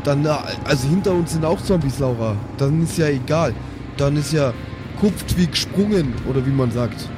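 A man's voice: fairly high pitch, expressive, normal speed. A man playing in an improvised pen-and-paper audio play, with casual, teasing in-character banter. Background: music and outdoor ambience.

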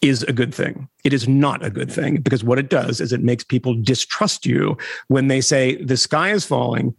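A middle-aged man, a reporter guest on a podcast, talking in an animated way with wry asides and chuckles. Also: medium pitch, normal speed, vocal fry, breathy edge, dry recording, studio quality. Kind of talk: conversational interview.

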